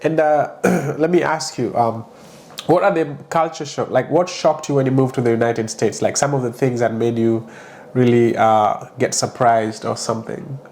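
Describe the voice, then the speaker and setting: A man's voice: mid-range voice, fairly even intonation, normal speed, darkish tone. A man hosting a podcast, chatting casually, laughing often and restarting his sentences. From Kenya. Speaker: podcast host. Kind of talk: casual interview chat.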